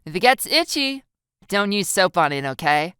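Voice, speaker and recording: A woman's voice: fairly low pitch, sing-song, moderate pace, a bit bright, smooth, no room sound, studio quality, voice pushed up. A woman voicing a mischievous, amused teenage schoolgirl in an audiobook-style story dub, playful and mock-innocent. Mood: cheeky. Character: teasing, gleeful teenage schoolgirl with a mischievous streak.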